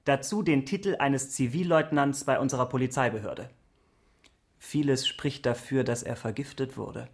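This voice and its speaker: A man's voice: medium pitch, moderate pace, lightly acted. Radio drama investigator, played by a man: mid-range male voice, earnest, polite, formal, persistent. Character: dutiful, courteous, persistent male police investigator. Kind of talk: German radio crime drama acting.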